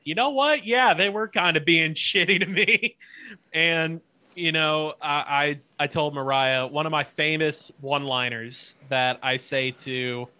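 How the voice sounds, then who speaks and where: A young man with a bright, crisp voice tells a story in a loose, casual chat. He swears freely, laughs often and keeps tripping over his words. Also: fairly high pitch. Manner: profane, loose storytelling with many fillers and restarts. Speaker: podcast co-host.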